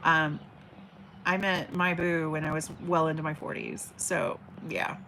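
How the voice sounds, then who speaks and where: A woman's voice: low voice, steady tone, measured pace, gravelly, bright, forceful tone, slight background noise, poor audio. A woman in her forties hosting a casual livestream, reading viewer comments in a chatty, affectionate way with a big teacher voice. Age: 40s. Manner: chatty and affectionate, frequent fillers and restarts. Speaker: livestream host. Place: at home by an open window, birds outside.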